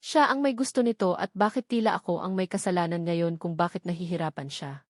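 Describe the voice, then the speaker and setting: A woman's voice: on the low side, fairly quick, a little mellow, no echo. Female storyteller, close dry sound. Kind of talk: scripted novel narration.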